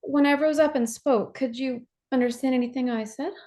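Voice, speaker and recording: A woman acting a dazed, fragile young woman in a staged play reading, halting and repeating herself nervously. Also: high-pitched, fairly even intonation, mellow, lightly acted. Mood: puzzled, insistent. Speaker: actor.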